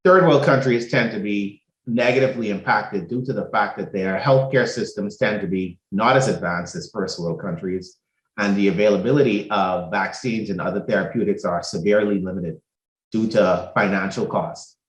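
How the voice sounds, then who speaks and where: A man from the Bahamas speaks in a low, steady voice, laying out his points one by one in a measured way. The recording carries a noticeable roomy echo. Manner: measured, methodical, lists points in order. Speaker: doctor. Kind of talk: prepared explanatory talk.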